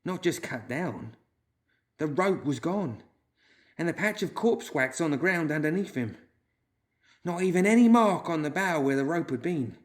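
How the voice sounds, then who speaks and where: A man voicing a shrewd, well-read woman rebel who speaks in a measured, knowing way. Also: on the high side, animated, pitched above the performer's own voice, lightly acted.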